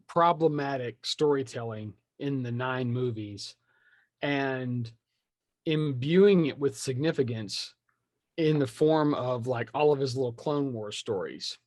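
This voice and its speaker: A man's voice: medium pitch, no room sound. A man in a casual podcast chat, opinionated and rambling as he thinks aloud. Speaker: podcast speaker discussing film franchises.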